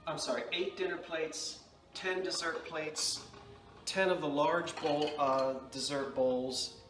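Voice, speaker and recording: A man's voice: on the high side, fairly even intonation, slow, fairly bright tone. A man with a New Jersey accent, casually showing and describing antique dishes in a chatty, self-correcting way. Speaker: antiques seller. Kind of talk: casual show-and-tell vlog.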